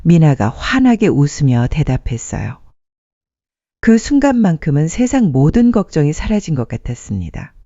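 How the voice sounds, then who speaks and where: A woman narrates a scripted, sentimental story. Her tone is mellow and her intonation moves expressively. The sound is very dry and a little dull. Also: low-pitched, sing-song, moderate pace, no echo.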